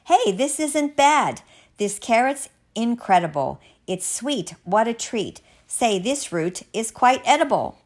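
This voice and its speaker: A woman voicing a picky young boy who is wary but easily amazed, with a wide-eyed, expressive manner, as in a storybook. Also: fairly high pitch, unhurried, few pauses, pitched above the performer's own voice. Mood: delighted.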